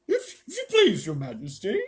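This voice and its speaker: Slow, stammering old bear. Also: medium pitch, wildly swooping pitch, a little mellow, slightly rough, exaggerated voice. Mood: humbly insistent. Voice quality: thick, sleepy voice. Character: oldest bear, sleepy, bumbling, humble, male.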